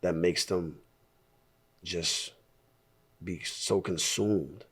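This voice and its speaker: Hoarse man, 30s, from Staten Island, very low raspy voice, loose rambling interview talk. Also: fairly even intonation, extremely slow, a bit bright. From Staten Island, New York. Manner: laid-back, rambling, frequent throat clearing. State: hoarse, voice partly lost. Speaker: musician being interviewed. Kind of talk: casual interview chat.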